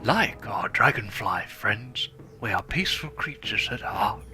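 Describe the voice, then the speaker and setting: A man voicing a wise old male fire dragon mentor, telling the legend in a solemn, measured way, with music underneath. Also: on the low side, sing-song, sharp tone, heavily acted. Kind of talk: dramatic script reading.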